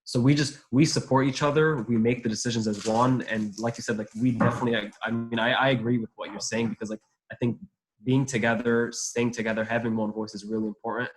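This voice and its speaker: A man's voice: mid-range voice, steady tone, poor audio. A young man leading a student association, speaking eagerly in a panel discussion. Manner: rapid, eager, with many fillers.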